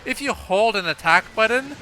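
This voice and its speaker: A man's voice: high voice, expressive, moderate pace, sharp tone. A man hosting a casual video game playthrough, thinking aloud in a hesitant, rambling way. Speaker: gaming video host. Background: video game music.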